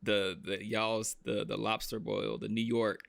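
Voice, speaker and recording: A man's voice: fairly low pitch, little pitch movement, measured pace, creaky, a bit bright, no echo. A man hosting a casual podcast interview, laid-back and slangy. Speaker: podcast host. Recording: dull lo-fi audio.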